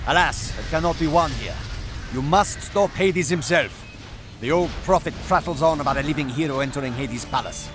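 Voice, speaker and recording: An actor playing a grave, weary warrior in a video game, speaking in an earnest, declamatory way. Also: male voice, a little high, lightly acted. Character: grave, weary warrior shade rallying a hero. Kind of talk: scripted video game acting. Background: music.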